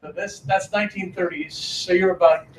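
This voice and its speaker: A man's voice: high-pitched, fairly even intonation, normal speed, noisy background. A middle-aged man moderating a public talk, chatty and joking with the audience. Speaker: moderator, interviewer. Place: lecture hall before an audience. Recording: roomy hall, from a distance.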